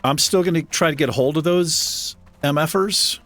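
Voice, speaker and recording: A man with a deep voice hosting a gaming channel, chatting casually and self-deprecatingly while he plays. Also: moderate pace, fairly bright tone. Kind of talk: casual gameplay commentary. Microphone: desk microphone.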